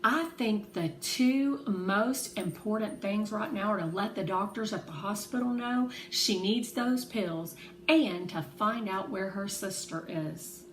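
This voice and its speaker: A woman's voice: medium pitch, measured pace, lightly acted. A woman voicing a sensible, rule-loving young girl, earnest and matter-of-fact, in a storybook reading. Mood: cautious. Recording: roomy echo, faint background noise.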